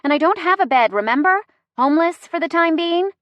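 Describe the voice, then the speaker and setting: Woman playing a harried, high-pitched young woman driver. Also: expressive, a little fast, no echo, highs cut off. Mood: defensive, exasperated. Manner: urgent, exasperated.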